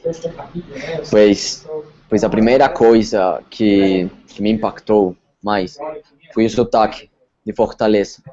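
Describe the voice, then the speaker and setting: A man from Colombia speaks Portuguese with a Spanish accent, answering questions in a relaxed interview. His voice is mellow and dark, and he pauses and repeats words while he hunts for the right phrase. The recording sounds a little dull. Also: on the low side. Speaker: interview guest. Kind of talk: casual interview chat.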